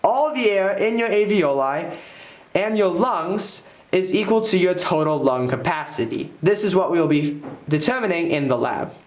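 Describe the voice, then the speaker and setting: Male instructional narrator, slightly high voice, animated pitch, scripted delivery, roomy sound. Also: moderate pace. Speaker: presenter of a lab lesson.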